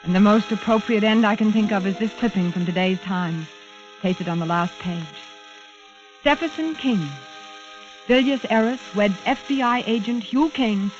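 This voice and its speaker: A woman's voice: on the high side, close to an everyday voice. Muffled young radio heroine played by a woman. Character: guarded young heiress, a woman in danger. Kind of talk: 1940s radio drama acting. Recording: muffled 1940s radio recording.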